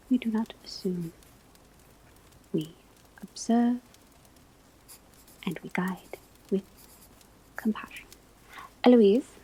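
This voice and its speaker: A woman speaks as a calm teacher at a school of witchcraft in a soft ASMR roleplay. Her voice is very breathy and mellow, often slipping into a whisper. She takes her time, choosing formal, measured phrases with gentle strictness. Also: medium pitch, low-quality recording.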